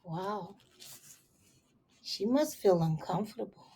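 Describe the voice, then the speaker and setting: A woman teacher reading a picture book aloud to young children, expressive and unhurried, with soft asides to her listeners. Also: medium pitch, a little hiss or hum. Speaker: elementary school teacher.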